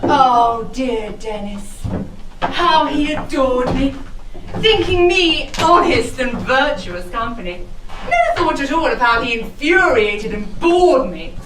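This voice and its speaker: An actress playing a haughty, lustful, scheming widow in a rhyming costume comedy, imperious and gleeful. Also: female voice, a little high, fairly bright tone. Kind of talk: theatrical costume comedy in rhyme. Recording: echoey room, distant mic, faint music.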